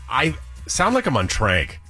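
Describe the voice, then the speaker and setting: A man's voice: medium pitch, huge pitch swings, a little slow, sharp tone, faint music behind. A man in his fifties co-hosting a radio show, telling anecdotes casually and poking fun at himself. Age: 50s. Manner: self-mocking.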